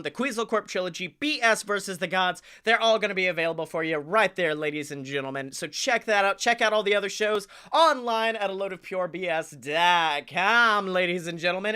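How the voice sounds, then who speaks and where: A man chatting casually as a comedy podcast co-host, enthusiastic, talkative and quick to swear. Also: high voice, pushed and bright, smooth.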